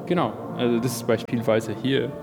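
Halting student explaining his design, gravelly. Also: mid-range voice, steady tone. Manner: hesitant, halting non-native German. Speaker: architecture student. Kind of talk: explaining a class project. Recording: music playing in background.

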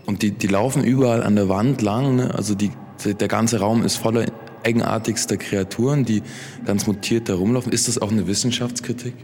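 A man with a fairly low voice interviews an artist, phrasing his questions loosely as he goes. His voice rings out with a long reverb. Faint noise sits underneath. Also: fairly even intonation, fairly quick, darkish tone.

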